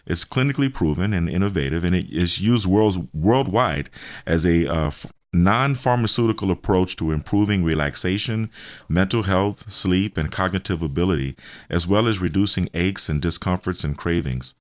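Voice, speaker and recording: A man with a very deep voice explains therapies in a radio interview. His delivery is hesitant, with phrases restarted and repeated. The sound is dry, with very little room to it. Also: moderate pace, dry recording. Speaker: health center representative explaining therapies.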